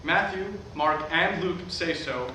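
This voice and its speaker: A man's voice: fairly high pitch, slow. A man acting an earnest, weary megachurch pastor in a staged play reading. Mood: earnest preaching. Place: theatre at a live staged reading. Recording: echoey, noisy hall recorded from far off.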